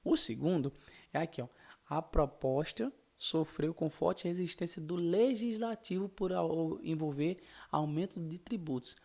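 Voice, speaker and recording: A man's voice: fairly high pitch, measured pace, creaky, a little mellow. A man with a Brazilian Portuguese accent giving informal political news commentary as a channel host, emphatic and indignant, repeating points and posing rhetorical questions.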